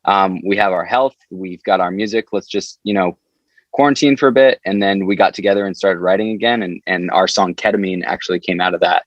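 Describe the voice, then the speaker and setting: A man with a fairly low voice tells stories in a loose, informal way, as a band member being interviewed. The talk is casual chat. The sound is dry and close, a little dull on top. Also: fairly even intonation, brisk, no echo.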